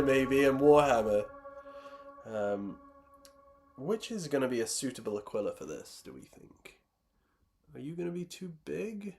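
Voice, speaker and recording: A man's voice: medium pitch, gravelly, darkish tone. A man on a live stream, chatting casually and reading chat aloud, rambling and self-deprecating, while losing his voice. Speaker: streamer building miniatures.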